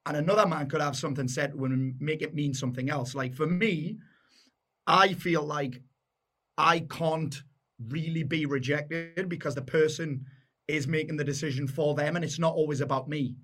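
A Geordie man with a deep, loud voice and a blunt, matey way of talking. He chats casually as a guest, swearing freely. His voice sits a little higher than most men's. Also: steady tone, normal speed. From North East England. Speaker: podcast guest. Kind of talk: casual chat.

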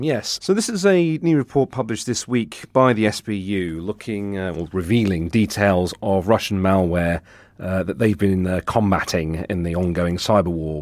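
Low-voiced man explaining the news. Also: a little mellow, no room sound. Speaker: guest senior technology reporter. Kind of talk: explanatory news podcast analysis.

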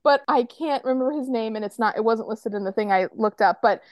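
American female co-host, higher register, dry sound, effusive fan chatter. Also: brisk, no echo. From United States. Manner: gushing, effusive. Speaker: podcast co-host leading a game. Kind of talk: casual fan chat.

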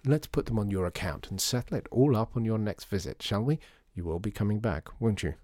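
A man voicing a gallant, smooth-talking shopkeeper in a storybook, courteous and coaxing. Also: on the low side, fairly quick, a little mellow, dry recording, clean studio sound, close to an everyday voice. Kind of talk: storybook character voice.

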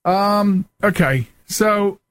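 A man's voice: sits high, very slow, clear, resonant tone, dry recording. A middle-aged man hosting a radio show in a casual, rambling chat style.

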